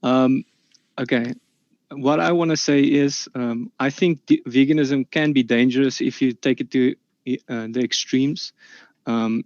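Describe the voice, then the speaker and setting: A man with an Afrikaans accent, a native Afrikaans speaker, giving a halting opening statement in an online debate with many fillers and restarts. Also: medium pitch, darkish tone, no room sound. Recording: muffled lo-fi call audio.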